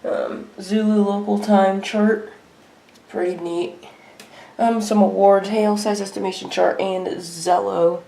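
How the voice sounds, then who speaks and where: Creaky-voiced woman in a noisy home video. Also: mid-range voice, fairly even intonation, warm tone. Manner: unscripted, halting delivery. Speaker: weather radio hobbyist vlogger. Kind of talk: casual show-and-tell narration. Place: indoor room at home. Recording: noisy homemade video with clicks.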